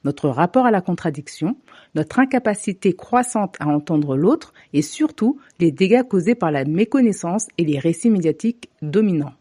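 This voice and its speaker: A woman from Africa delivering a scripted opinion monologue to camera, measured and deliberate with pointed asides. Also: on the low side, animated, warm tone, husky. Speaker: commentator.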